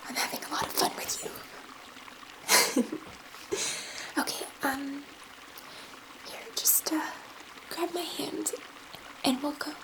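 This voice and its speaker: A woman playing a gentle, awed alien scientist in a whispered ASMR sci-fi roleplay, speaking tenderly and reassuringly with halting pauses in a soft, breathy voice. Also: sits high, steady tone, low-quality recording. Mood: excited, reassuring.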